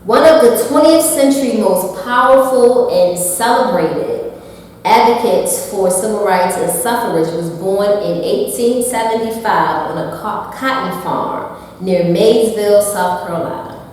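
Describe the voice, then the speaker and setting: A woman's voice: medium pitch, a little slow, darkish tone, soft background music. A woman reading a prepared history report aloud, with occasional stumbles and restarts. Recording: echoey hall from far off.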